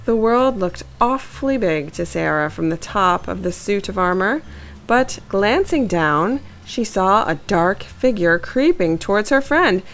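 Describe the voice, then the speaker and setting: A woman's voice: mid-range voice, animated, unhurried, fairly bright tone, faint music behind. A woman reading a children's story aloud and hosting a kids' story channel. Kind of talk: animated read-aloud storytelling for young children.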